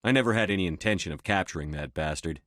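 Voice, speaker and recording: An actor playing a stern, steady human military commander in a sci-fi video game, clipped, authoritative and matter-of-fact. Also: male voice, low-pitched, fast, no room sound, clean studio sound. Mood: resolute. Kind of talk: video game dialogue acting.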